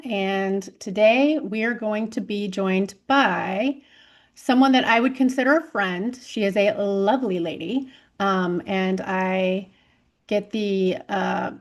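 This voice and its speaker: A woman from Texas hosting a podcast, chatty and enthusiastic as she introduces her guest. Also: mid-range voice. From Texas, United States. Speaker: podcast host.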